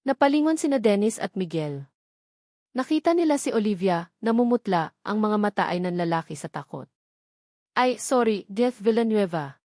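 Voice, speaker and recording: A woman's voice: mid-range voice, expressive, brisk, darkish tone, no room sound, clean studio sound. Woman narrating a dramatic love story. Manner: dramatic, suspenseful.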